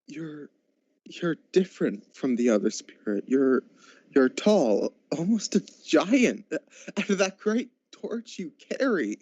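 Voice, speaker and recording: A high-voiced, frightened old miser played by a man pleads in slow, stammering phrases, as in a radio play. The recording is dry, dull and lo-fi. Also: very animated, a little mellow, breathy edge, dry recording, heavily acted. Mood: awed, uncertain. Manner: stammering, halting. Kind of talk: amateur radio drama acting.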